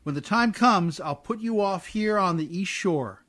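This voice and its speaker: A man's voice: high-pitched, expressive, measured pace, a bit bright. A man playing a scrappy, good-hearted riverboat captain in an old-time radio drama, with folksy, ungrammatical working-man speech. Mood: firm, coaxing.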